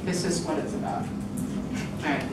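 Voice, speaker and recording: A middle-aged woman giving an informal book talk, chatty and often laughing, lifting her pitch as she acts out quoted lines. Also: fairly even intonation, unhurried. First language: English. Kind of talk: informal presentation. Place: lecture room, indoors. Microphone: far camera in the room. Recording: roomy, distant camera audio with noise.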